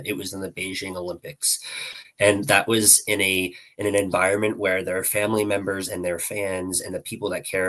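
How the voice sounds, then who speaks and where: A man's voice: low voice, pushed and bright, low-quality recording. A man giving earnest hockey analysis as a podcast guest, hedging often and talking over a video call. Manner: earnest, hedges often with "I think".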